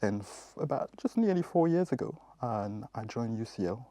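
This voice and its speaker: A man's voice: medium pitch, lively intonation, normal speed, dark tone, no room sound. A man who left IT for psychology research, earnestly recounting his career change. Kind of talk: recorded career testimonial.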